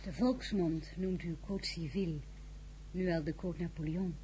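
A woman's voice: on the low side, fairly even intonation, measured pace, background noise, lightly acted. A woman playing an anxious, earnest young wife and mother in a radio drama, with a hesitant way of talking. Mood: reserved. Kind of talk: Dutch radio drama acting with first-person narration.

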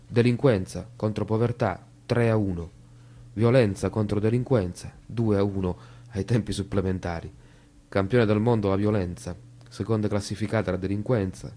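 A man's voice: lowish voice, dark tone, close to an everyday voice. An actor playing a troubled man in a bitter stage drama, delivered as theatrical dialogue and monologue. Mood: bitter, resigned.

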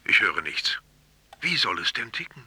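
A man's voice: fairly low pitch, big pitch swings, moderate pace, sharp tone, husky, heavily acted. An actor playing an evasive, self-satisfied father of a grown daughter, rambling and lecturing in a radio play. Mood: defensive, lecturing.

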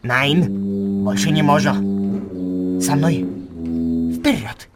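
An elephant keeper. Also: male voice, mid-range voice, lively intonation, very slow. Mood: coaxing. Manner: broken, bossy Russian with German words. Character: fussy foreign elephant keeper, man, middle-aged. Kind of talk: radio play acting. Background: music.

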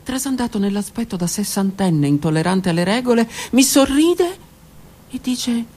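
A middle-aged woman, a stage actress, performing a dramatic monologue that swings from hushed whispers to raised declaiming. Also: a little high, animated, normal speed. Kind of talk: theatrical monologue. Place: radio studio.

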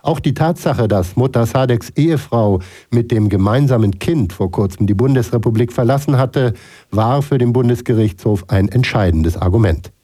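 Male correspondent, standard German of Germany, mid-pitched and mellow, scripted news report. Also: normal speed.

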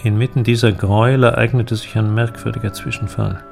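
An actor playing a pensive, sombre middle-aged inventor in a literary audio drama, speaking in a measured, deliberate way with a creaky voice. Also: male voice, fairly low pitch, steady tone, darkish tone, faint music behind. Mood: grave reading.

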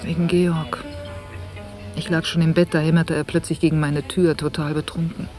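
A woman's voice: low voice, little pitch movement, moderate pace, a little mellow, far from the mic, faint music behind, lightly acted. An actress playing a troubled, earnest woman weighed down by guilt, in a naturalistic German radio drama. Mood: weary, exasperated. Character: troubled, distressed woman torn by guilt on holiday. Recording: noisy cassette tape, some echo.

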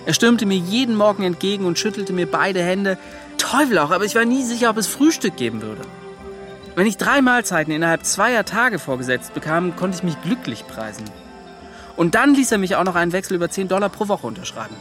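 Impulsive young adventurer, higher male voice, earnest, excitable, radio drama, music behind. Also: a little fast. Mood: indignant recounting. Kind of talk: German radio play acting.

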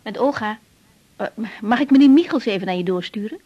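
A young woman doctor in a naturalistic radio play. Her voice sits fairly high, and she is calm, polite and patient, gently steering. The recording is a little dull. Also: brisk. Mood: gently probing. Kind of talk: naturalistic radio drama acting.

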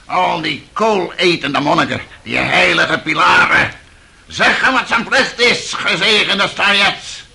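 Gravelly, blustering old father. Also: sits high, takes it slowly, bright, forceful tone, exaggerated voice. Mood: scornful, blustering. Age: older. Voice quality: gravelly, raspy. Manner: blustering, mocking. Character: coarse, bossy old father, hard-drinking and scornful. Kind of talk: radio drama acting.

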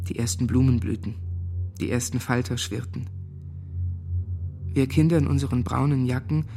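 A sombre young man recalls his past in literary radio-drama monologue. His voice is gravelly and of medium pitch, with music underneath. He sounds haunted. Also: darkish tone. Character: haunted young man, former Nazi boarding-school pupil.

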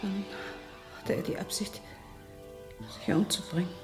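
An actress playing an earnest, expressive young woman in a radio drama. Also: mid-range voice, unhurried, over music, natural voice. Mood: subdued.

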